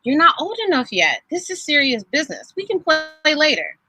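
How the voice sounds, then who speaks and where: A woman's voice: high-pitched, very animated, brisk, sharp tone, no echo, voice pushed up, full character voice. A woman voicing a bossy, impatient young boy, the pushy big brother in a children's storybook, with emphatic delivery. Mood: dismissive.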